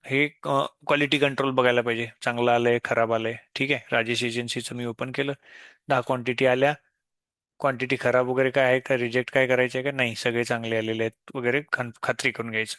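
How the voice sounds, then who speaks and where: A man presents an unscripted software tutorial in a medium-pitched voice. He explains each step as he goes, asking himself questions and answering them. The recording is dry, with very little room sound. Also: flat delivery, quick pace, dry recording.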